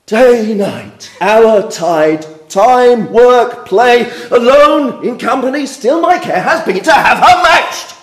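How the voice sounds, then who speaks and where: Man acting a high-pitched, raging patriarch, echoey room. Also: full character voice. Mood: exasperated. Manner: scornful, explosive, declamatory Shakespearean delivery. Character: raging domineering father, older nobleman. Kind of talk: classical stage verse acting. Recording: roomy, echoing acoustic.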